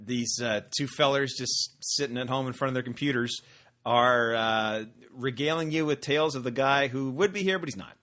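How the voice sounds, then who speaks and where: A man's voice: mid-range voice, moderate pace, pushed and bright. A man co-hosting a podcast from home, chatting casually and joking with lots of fillers and restarts. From Canada. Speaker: podcast co-host. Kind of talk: casual chat. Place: at home in front of a computer.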